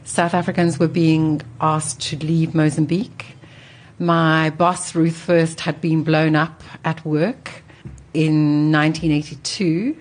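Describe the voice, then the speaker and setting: A woman in her sixties with a low voice speaks as an interview guest. She tells personal stories in an articulate, reflective way, pausing to think as she goes. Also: little pitch movement. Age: 60s.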